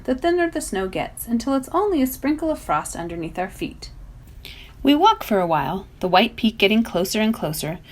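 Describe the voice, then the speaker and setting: A woman narrating a children's novel as a volunteer reader, in an expressive storytelling style. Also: on the high side.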